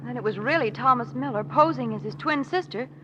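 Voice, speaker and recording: A woman's voice: on the high side, a little fast, a bit bright, close to an everyday voice. An actress playing a pert society lady and detective's companion in a 1940s radio drama. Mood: chatty, wry. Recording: dull, noisy old 1940s radio broadcast.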